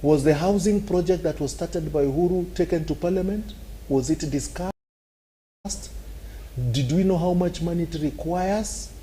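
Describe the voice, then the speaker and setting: A man's voice: a little high, normal speed, mellow, slight background noise, rough recording. A man with a Kenyan accent, arguing animatedly on a talk show and acting out scenes with sound effects. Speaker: political commentator.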